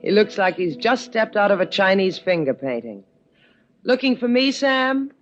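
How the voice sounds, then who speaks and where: Actress playing a low-voiced, wry woman sailor. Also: lively intonation, moderate pace, soft background music, natural voice. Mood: wry, breezy. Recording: dull vintage 1950s radio recording.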